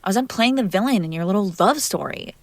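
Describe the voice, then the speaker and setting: A woman playing a jealous, hurt young woman confronting her crush in an intimate audio roleplay, halting, sarcastic and emotionally raw. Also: mid-range voice, expressive, dry recording, close to an everyday voice. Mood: bitter, accusing.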